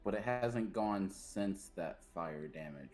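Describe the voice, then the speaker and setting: A man's voice: sits low, lively intonation, slow, darkish tone, soft background music. A man playing in an online tabletop game, thinking aloud and asking questions as he works through his turn. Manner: joking, then unsure while sorting out his turn. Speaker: player.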